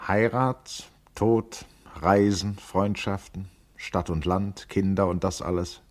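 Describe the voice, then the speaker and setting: An actor playing a grave, contemplative man in a literary radio play, speaking in a solemn, deliberate, poetic way. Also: deep voice, steady tone. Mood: reflective. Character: grave, contemplative man musing on life and cosmos.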